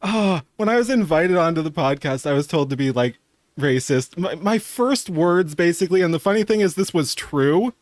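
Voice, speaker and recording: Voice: medium pitch, animated, moderate pace, no echo. A podcaster chatting casually over a recording, amused, informal and sweary. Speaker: podcast guest commenting on a recording. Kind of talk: casual reaction chat.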